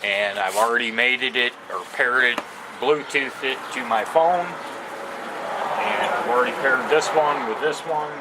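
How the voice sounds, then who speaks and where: A man giving a casual, unscripted product demo outdoors, talking in a plain-spoken, halting way. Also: mid-range voice. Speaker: amateur product reviewer. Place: outdoors on a porch. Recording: noisy outdoor recording with ambient sounds.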